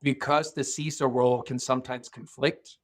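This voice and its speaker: A man's voice: mid-range voice, steady tone. A middle-aged man from Norway, a guest speaking thoughtfully in a podcast interview, often restarting his sentences. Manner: thoughtful, measured, with frequent restarts. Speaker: guest, security executive.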